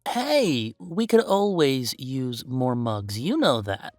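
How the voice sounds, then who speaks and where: Animated, chatty werewolf boyfriend played by a man. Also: mid-range voice, slow pace, no echo, clean studio sound. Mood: teasing. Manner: chatty, teasing, mock-defensive, emphatic. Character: playful, easygoing young male werewolf boyfriend. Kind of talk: casual boyfriend roleplay audio, one-sided conversation.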